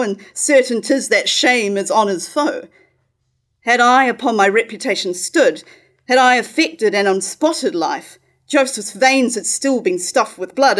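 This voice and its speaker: A woman playing a proud, scornful, scheming royal sister in a staged reading of verse tragedy, declaiming in measured lines. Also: on the high side. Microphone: stand microphone at lectern.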